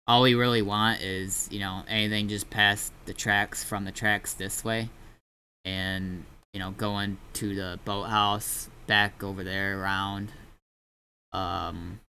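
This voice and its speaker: A man chatting casually in an online roleplay game, in a halting, rambling and informal way. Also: fairly low pitch, bright, forceful tone, a little hiss or hum. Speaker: streamer playing a gang leader in online roleplay.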